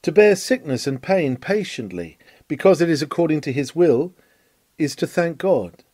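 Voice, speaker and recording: A man reading an old sermon aloud in a measured, declamatory way, with swelling emphasis. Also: fairly high pitch, animated, a little mellow, no echo. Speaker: reader of a historical sermon.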